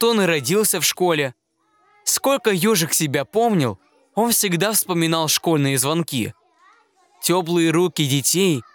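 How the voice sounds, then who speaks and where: A man narrates a children's fairy tale gently and expressively. He takes an unhurried pace, and his pitch moves up and down in a lively way. The recording is dry and intimate, with no room sound. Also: dry recording. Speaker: reader of children's fairy tales. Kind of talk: scripted storybook narration.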